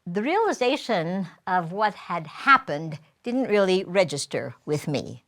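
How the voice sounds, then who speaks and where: A woman storyteller telling a first-person tale in a deliberate, expressive way with dramatic pauses. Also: on the low side.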